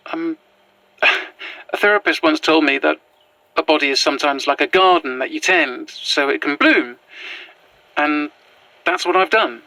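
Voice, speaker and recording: A woman playing an earnest, gentle transgender woman in a horror audio drama, speaking in a sincere, halting way. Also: low voice, gravelly, no echo, exaggerated voice, rough recording. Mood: earnest, tender. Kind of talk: found-footage horror audio drama acting.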